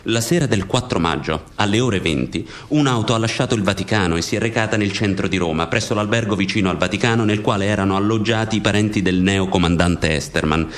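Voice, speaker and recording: A man playing a sober, serious investigative narrator in a scripted radio drama, speaking in a measured way. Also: fairly low pitch, natural voice. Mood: grave, pointed.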